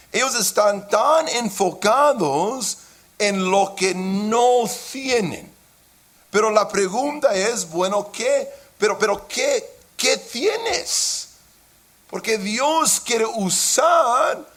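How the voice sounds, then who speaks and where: A man's voice: very high-pitched, a bit bright. A man preaching a sermon in Spanish, though English is his first language, with impassioned delivery and occasional slips into English. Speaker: pastor.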